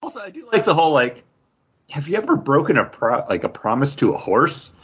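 A man's voice: a little high, big pitch swings, a little fast, a bit bright, lo-fi sound. A man co-hosting a podcast, chatting casually about comic books in a rambling, conversational way. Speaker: podcast co-host. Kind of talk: casual comic book review chat.

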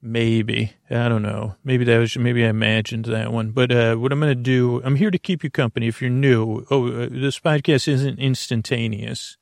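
A man with a creaky voice hosting a bedtime podcast in a slow, halting, soothing ramble full of tangents. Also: mid-range voice, steady tone, no room sound, studio quality. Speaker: podcast host.

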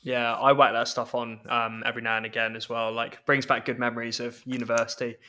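Bright, flat-toned man in his twenties. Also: medium pitch, fairly quick. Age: 20s. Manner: friendly, chatty, laughs easily. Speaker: podcast host. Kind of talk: casual podcast conversation.

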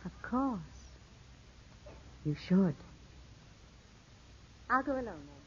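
An actress playing a poised, gracious widow, coaxing and measured, in 1950s radio drama style. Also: female voice, mid-range voice, sing-song, darkish tone, husky, full character voice. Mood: gently insistent. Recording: muffled old 1950s radio broadcast.

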